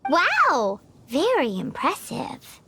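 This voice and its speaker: A tiny floating fairy sidekick from an anime game. The voice is extremely high and squeaky, with bright, childlike edges. It teases bluntly and excitably, swinging up and down. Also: female voice, drawn-out words, gravelly, a little hiss or hum, exaggerated voice. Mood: excited. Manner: speaks of self in third person, blunt teasing. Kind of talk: anime-style video game voice acting.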